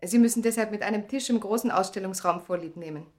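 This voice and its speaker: A woman's voice: mid-range voice, quick pace, darkish tone, natural voice. A woman acting a polite, helpful Frenchwoman who works as a museum attendant in a radio play. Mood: courteous. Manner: courteous, obliging. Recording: echoey room, old radio play.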